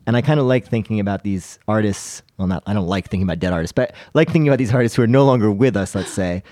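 A man's voice: lowish voice, rapid-fire, dark tone, no echo. A man chatting casually as a podcast panelist, telling anecdotes in a conversational, self-deprecating way.

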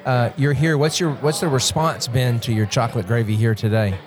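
Encouraging male interviewer, mid pitch. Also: steady tone, fairly quick, crowd noise. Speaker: interviewer host. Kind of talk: casual interview.

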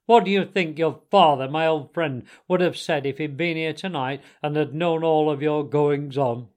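A man voicing a stern, commanding elder badger with a dry voice and an authoritative, gruffly kind manner. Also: on the high side, lightly acted. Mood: severe. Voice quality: thin, dry. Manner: severe, authoritative, measured scolding.

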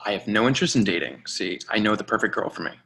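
Man acting awkward lovesick twenty-something, low bright voice, halting, self-deprecating, stage play reading, noisy recording. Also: expressive, vocal fry, full character voice. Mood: awkward, wistful. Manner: hesitant, halting. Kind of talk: play reading, confessional monologue.

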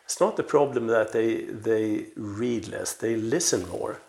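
A man in his sixties with a low, creaky voice talks as the guest in a conversational interview. He comes from Sweden and speaks haltingly, repeating words as he works through anecdotes and reflections. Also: darkish tone. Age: 60s. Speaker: interview guest, veteran publisher and editor.

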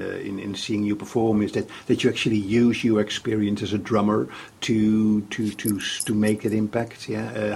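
Male podcast host, low and raspy, halting questions. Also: a little mellow. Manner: stammers and restarts, ends on tag questions. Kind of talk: podcast interview.

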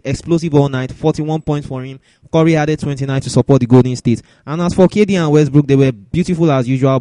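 A man's voice: medium pitch, warm tone, no room sound. A man hosting a sports podcast, talking through news and results as commentary. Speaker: podcast host.

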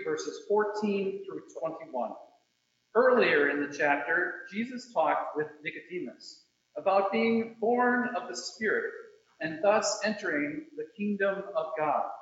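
In a very echoey room, a man with a rather high voice reads scripture aloud at a church service. His delivery is solemn and measured, taking its time over each phrase. The recording is a little dull. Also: fairly bright tone. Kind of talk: solemn scripture reading with short introductions. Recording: very echoey room, slightly muffled.

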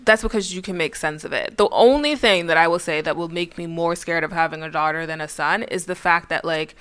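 A woman with a bright, forward voice talks through a casual chat with a friend. She is blunt and emphatic, lands her points hard and swears without thinking about it. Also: fairly low pitch, fairly quick, smooth.

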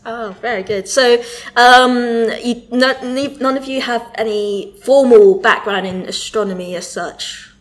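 A woman's voice: fairly high pitch, steady tone, unhurried, few pauses. A woman astronomer interviewing photographers in a casual group chat, offering frequent short affirmations. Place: indoors, exhibition event.

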